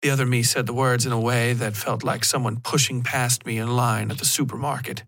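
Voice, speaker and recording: A man's voice: mid-range voice, gravelly, fairly bright tone. Guy reading a scripted horror story for a podcast, mid-range voice. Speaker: fiction podcast narrator. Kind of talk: scripted first-person horror story narration.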